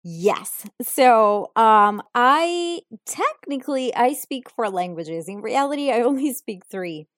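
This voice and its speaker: A young woman speaks English slowly and deliberately, taking her time over each answer. She sounds thoughtful and a little hesitant, filling gaps with soft ums. Her voice is close and dry, with no room sound around it. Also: fairly high pitch, expressive, no room sound. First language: Italian. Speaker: English learner answering questions. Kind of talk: unscripted answers in an English lesson.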